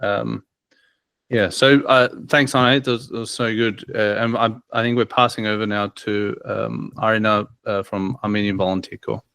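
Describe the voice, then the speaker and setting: A man with a fairly low voice hosts a webinar over a video call. He speaks in a friendly, halting way, full of uhs. The sound is dry. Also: fairly even intonation, vocal fry, a bit bright, smooth, dry recording. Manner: hesitant, many uhs, friendly. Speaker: webinar host.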